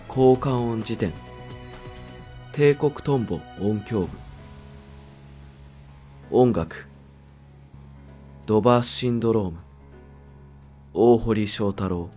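A man reads the end credits slowly and steadily, in a low voice. His delivery is measured and announcing, with faint music under it. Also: warm tone. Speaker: credits narrator.

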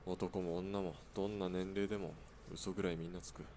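An actor voices a stoic outlaw sniper in a radio drama. His voice is deep and his delivery dry, terse and matter-of-fact. Clear background noise runs under the speech. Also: level tone, brisk. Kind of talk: radio drama acting, conversational.

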